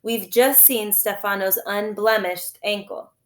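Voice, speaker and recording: A woman's voice: medium pitch, steady tone, measured pace, natural voice. Stuffy male guardian, voiced by a woman reader: dismissive, condescending, echoey room. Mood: weary, stern. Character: dismissive, weary grown man, stuffy family guardian.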